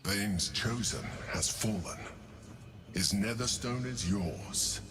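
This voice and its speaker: An actor playing a calm, calculating, mysterious mentor in a video game, measured and grave. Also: male voice, on the low side, music in the background. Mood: grave, commanding. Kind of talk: dramatic game cutscene acting.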